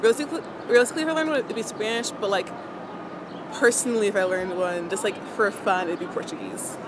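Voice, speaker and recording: Young-sounding woman, casual, loud street noise. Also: mid-range voice, quick pace. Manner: casual, thoughtful, uses "like" a lot. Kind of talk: street interview. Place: city sidewalk outdoors.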